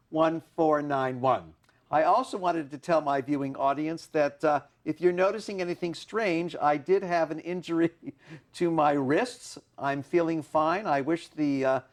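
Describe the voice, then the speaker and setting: Older man hosting a TV talk show. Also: on the high side. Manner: chatty, asks strings of questions. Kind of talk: TV studio interview. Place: TV studio set.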